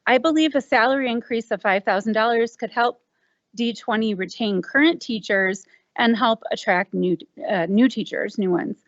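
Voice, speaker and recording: A woman with a naturally loud voice, a parent, reading a direct prepared comment at a podium microphone. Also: a little high, moderate pace, no echo. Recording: dull lo-fi meeting audio.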